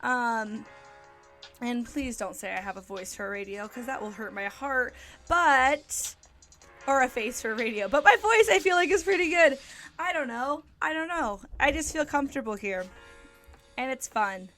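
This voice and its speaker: A woman's voice: sits high, animated, a little slow, fairly bright tone, faint music behind. A young woman hosting her own podcast, talking casually and upbeat, with frequent ums and likes. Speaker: podcast host. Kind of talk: casual solo podcast chat.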